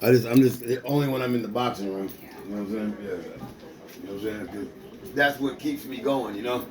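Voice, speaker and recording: A man's voice: lowish voice, normal speed, crowd noise. A man in his forties, an amateur boxer, talking casually in loose, repetitive street slang with lots of restarts. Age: 40s. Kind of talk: casual rambling talk to a companion.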